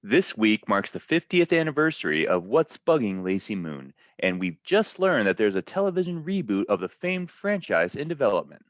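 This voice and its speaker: A man's voice: fairly low pitch, big pitch swings, normal speed, hardly any pauses, no echo. A man playing a local television news anchor announcing a story in a drama table read. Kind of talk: newsreader delivery in table read.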